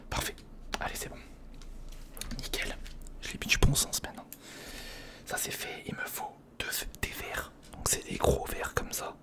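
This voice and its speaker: A person whispering informal ASMR commentary in short step-by-step remarks while building, speaking directly to the viewer. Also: moderate pace. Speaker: ASMR video creator and host.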